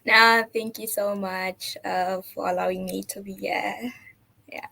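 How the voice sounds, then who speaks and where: A woman's voice: mid-range voice, little pitch movement, a little slow, creaky, pushed and bright, low-quality recording. A young woman from Zimbabwe, a trainee accountant answering interview questions casually with frequent fillers and laughter. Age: 20s. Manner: giggly, lots of "like" fillers.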